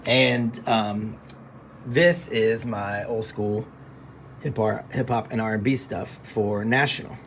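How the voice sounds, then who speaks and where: A man who works as a radio DJ, chatting casually on a phone livestream from his studio, relaxed and explaining things to viewers. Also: fairly low pitch, slow, a little hiss or hum, poor audio.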